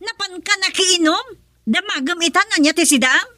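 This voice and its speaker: A woman's voice: very high-pitched, normal speed. A woman playing a frustrated young wife who scolds her husband in an Ilocano-accented radio drama. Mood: scolding. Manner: sharp, scolding.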